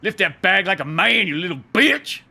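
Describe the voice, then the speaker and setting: Man acting as rugged old comic-book mechanic, raised bright voice, gruff, sneering, profane, contemptuous. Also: sits high, sing-song, normal speed, pitched above the performer's own voice, heavily acted.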